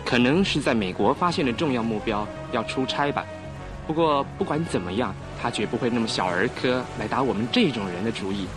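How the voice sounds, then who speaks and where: Man acting calm ordinary passenger, reassuring manner, mid-pitched, radio play, clear music bed. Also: a little fast, close to an everyday voice. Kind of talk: old-style radio drama acting.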